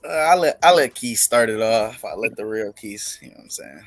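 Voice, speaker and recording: A man's voice: fairly low pitch, expressive, a little fast, faint music behind. A man in casual, slangy banter with the others on a video call. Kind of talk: casual chat. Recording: video call with clear background noise.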